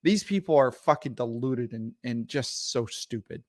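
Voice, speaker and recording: A man co-hosting a casual livestream, whose first language is Arabic. His voice sits at an average pitch and sounds dry and close to the mic. He rants heatedly and swears often, repeating himself as he builds steam. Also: expressive, normal speed, dry recording. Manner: profane, heated, repeats words. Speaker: podcast co-host.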